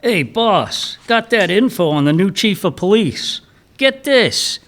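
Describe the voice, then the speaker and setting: An actor playing a dim, deadpan male henchman who talks in old-time gangster slang in a comic radio play. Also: high voice, slow, full character voice.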